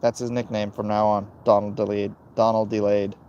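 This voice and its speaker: A man with a gravelly voice hosting a casual, opinionated livestream, answering chat in a blunt, dismissive way and muttering between thoughts. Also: on the low side, flat delivery, normal speed, darkish tone, highs cut off, a little hiss or hum. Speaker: livestream host reading and answering chat comments.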